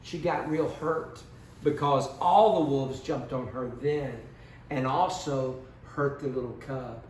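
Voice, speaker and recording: A man's voice: medium pitch, slow, a little mellow. A man giving a children's Bible lesson as storyteller, speaking simply and instructively and putting questions to small children. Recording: roomy, distant mic with background noise.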